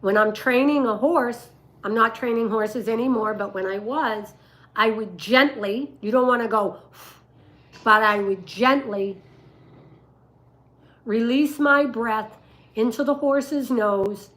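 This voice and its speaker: An older woman with a rough voice, telling stories and giving advice casually on a livestream, in an emphatic, deliberate way. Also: on the high side, a bit bright. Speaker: dog breeder and livestream host.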